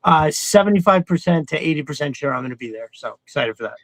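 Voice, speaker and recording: A man in his thirties or forties with a slightly high, gravelly voice, recorded close and dry. He chats casually as a co-host, rambling along like an enthusiastic fan. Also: expressive, fast talker, no room sound. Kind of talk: casual chat.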